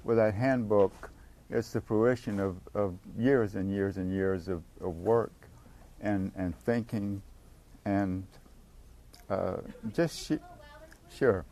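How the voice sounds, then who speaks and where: An older man giving a casual workshop talk to a live group on a handheld mic, halting and often restarting his sentences. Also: lowish voice, steady tone, mellow, a little hiss or hum. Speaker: workshop speaker at a recovery meeting. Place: meeting room with an audience. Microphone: handheld microphone.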